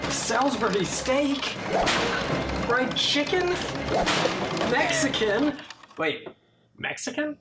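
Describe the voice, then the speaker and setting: A woman's voice: sits high, expressive, slow pace, long pauses, loud music behind the voice. A woman playing a helpless person in a comedic horror skit. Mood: bewildered, uneasy. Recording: distant, very noisy, clicks.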